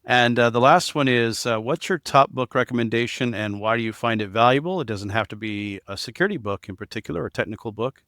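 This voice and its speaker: A man's voice: medium pitch, fast talker, few pauses, a bit bright, dry recording, studio quality. A man co-hosting a podcast, putting questions to a guest in a conversational style. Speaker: podcast host.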